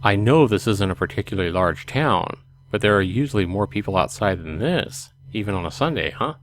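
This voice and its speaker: A man's voice: on the low side, expressive, brisk, a little hiss or hum. A man hosting a let's play, reading a visual novel aloud expressively with casual commentary.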